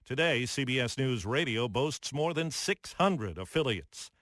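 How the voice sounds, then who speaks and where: A man's voice: medium pitch, lively intonation, unhurried, fairly bright tone, no echo, clean studio sound. An American man hosting a radio program, reading a scripted historical narration as a news anchor. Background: music.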